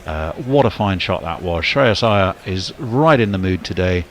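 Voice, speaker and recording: A man's voice: low-pitched, lively intonation, a little hiss or hum. A man calling a cricket match ball by ball, lifting his voice when a big shot comes. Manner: brisk play-by-play with sudden lifts on big shots. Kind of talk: live sports commentary.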